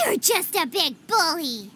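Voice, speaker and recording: A woman voicing a tiny, cheerful, outspoken fairy companion in an anime-style game, with a squeaky, childlike, chirpy voice. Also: very noisy, full character voice. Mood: indignant. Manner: chirpy, blunt, refers to herself by name. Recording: music playing behind the voice.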